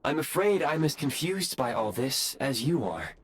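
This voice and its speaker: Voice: medium pitch, very animated, normal speed, close to an everyday voice. An actor voicing a polite, dutiful robot guide in a video game, speaking in a formal, precise and courteous way. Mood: puzzled, apologetic. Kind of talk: scripted video game voice acting. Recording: music behind the voice.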